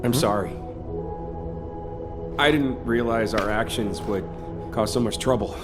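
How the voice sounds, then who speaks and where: A man playing a friendly, enthusiastic young outpost builder in a video game, sincere and excitable. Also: medium pitch, normal speed, close to an everyday voice. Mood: apologetic. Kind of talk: video game cutscene dialogue. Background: faint background music.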